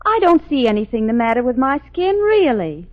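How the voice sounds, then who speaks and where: A pert, girlish young lady with a very high, animated voice and a defensive streak. She speaks in 1940s commercial skit style. The sound is dull, vintage radio. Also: fairly quick. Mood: defensive, pouty. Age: young adult.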